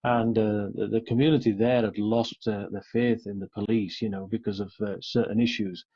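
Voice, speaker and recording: A middle-aged Yorkshireman talks earnestly as an interview guest. His voice is soft-edged and mellow, with a husky grain. The sound is dull and lo-fi. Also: fairly low pitch, level tone, moderate pace. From Yorkshire, England. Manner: frequent "you know" and "sort of". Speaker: interview guest, police officer.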